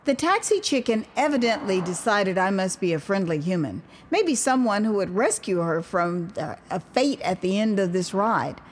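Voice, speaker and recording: An American woman narrating her own memoir as an audio drama, expressive and wry, with conversational asides. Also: mid-range voice, a little hiss or hum. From United States. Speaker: author and storyteller.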